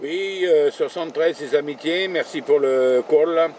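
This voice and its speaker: A man's voice: on the high side, measured pace, very noisy. A man working as a radio operator from a vehicle, calling and chatting in radio jargon with spelled-out call signs. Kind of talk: radio contact calls and chat. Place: in a vehicle, mobile station.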